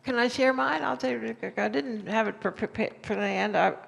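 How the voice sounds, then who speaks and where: A woman minister guiding her congregation through a sharing exercise, speaking in a gentle, encouraging way. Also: a little high, normal speed, vocal fry. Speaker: minister leading a church service. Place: church sanctuary.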